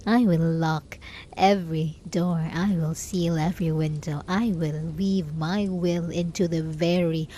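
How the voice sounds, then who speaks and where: Woman acting a soft, slow, obsessively lovesick hermit. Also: deep voice, steady tone, slight background noise. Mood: soothing, menacing. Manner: soft, slow, intimate, often dropping into whispers. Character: lovesick, possessive, desperate hermit spellcaster. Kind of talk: ASMR roleplay, scripted dramatic monologue.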